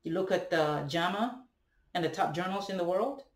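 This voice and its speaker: Young Ghanaian man, echoey room. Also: sits high. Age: young adult. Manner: halting, deliberate, rhetorical questions and tag "right?". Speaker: vlogger. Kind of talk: reflective monologue to camera. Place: indoors, room at home with bare walls and ceiling fan. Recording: echoey room, webcam at a distance.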